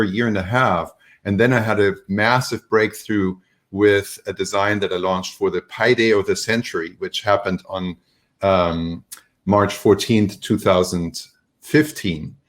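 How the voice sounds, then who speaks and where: A man from Germany, a guest on a remote interview, telling his story in a relaxed, rambling way with many fillers. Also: lowish voice. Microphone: video call.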